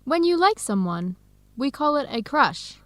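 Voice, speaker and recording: A friendly, earnest young woman researcher in a radio drama. Her voice is high and very expressive, with a chatty, inquisitive manner. The recording is close and dry. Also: measured pace, dry recording, heavily acted. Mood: chatty, confiding. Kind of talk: educational radio drama acting.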